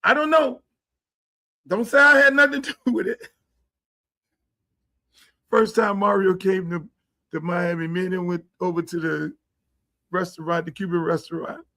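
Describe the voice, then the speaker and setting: A man hosting a livestream show. He rants in a blunt, profane, slang-heavy way and keeps slipping into quick impressions of other people. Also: high voice, pushed and bright. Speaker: show host. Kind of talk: casual livestream rant. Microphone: streaming setup.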